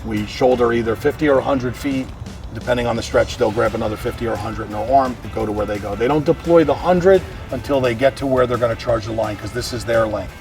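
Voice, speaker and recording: A man's voice: mid-range voice, fast, darkish tone, recorded from a distance, soft background music. An American man, a fire chief, explaining equipment in a practical, plain-spoken way during a walk-through. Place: outdoors around a fire truck.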